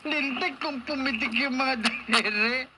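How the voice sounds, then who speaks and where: Casting: crude drunken heckler, Filipino radio drama, slow slurred taunts, very high pitch. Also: male voice. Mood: drunken mocking. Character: taunting drunk drinking buddy, adult man, crude and mocking. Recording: very noisy radio drama with loud ambience.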